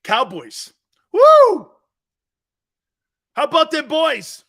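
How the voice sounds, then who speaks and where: A man's voice: very high-pitched, huge pitch swings, extremely slow, bright, forceful tone. A middle-aged man hosting a live sports talk show, joking and rambling, laughing often as he talks. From Italian-American family.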